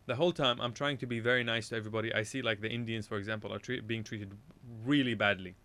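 A man's voice: fairly low pitch, fairly even intonation, fast talker, pushed and bright. A man from Iraq, a radio show guest, telling a casual anecdote in a rambling way, often correcting himself and laughing at his own story.

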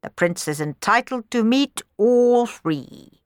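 A woman voicing a calm, kindly and authoritative male royal adviser in a storybook, speaking in a deliberate way. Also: a little high, dry recording, studio quality, pitched above the performer's own voice. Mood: firm challenge.

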